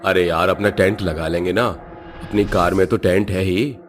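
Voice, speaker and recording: A man voicing a casual, reassuring young man with a teasing manner in an animated horror story. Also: low-pitched, normal speed, slight background noise, natural voice.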